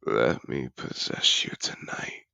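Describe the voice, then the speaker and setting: Fantasy audio drama: male actor as seductive dragon patron, courtly and possessive, unhurried, close dry sound, deep gravelly murmur. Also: pushed and bright, no echo, whispered. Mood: tender, seductive. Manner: velvety, deliberate, courtly. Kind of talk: intimate fantasy audio roleplay, slow dramatic delivery.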